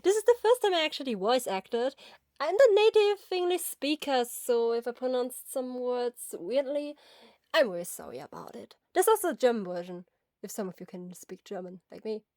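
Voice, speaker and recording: A woman's voice: fairly high pitch, expressive, moderate pace, darkish tone, dry recording, studio quality. A woman in her twenties speaking informally to listeners in a nervous, rambling way. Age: 20s. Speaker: amateur voice actor and fan-fiction writer. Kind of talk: informal personal talk to listeners.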